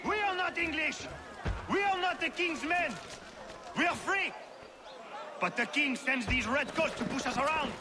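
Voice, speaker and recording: A man's voice: extremely high pitch, unhurried, pauses often, noisy background. An actor playing a hot-tempered, vengeful French colonial man with a French accent in a video game, ranting and slipping into French. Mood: rousing, defiant. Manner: impassioned, ranting, slips into French. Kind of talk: video game cutscene acting.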